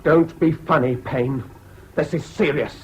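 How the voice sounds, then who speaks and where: An actor playing a bullying, contemptuous school prefect with an English public-school accent, barking orders in a radio drama. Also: male voice, on the high side, measured pace, close to an everyday voice. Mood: angry. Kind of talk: 1970s BBC radio drama acting. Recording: faint hiss of old radio broadcast.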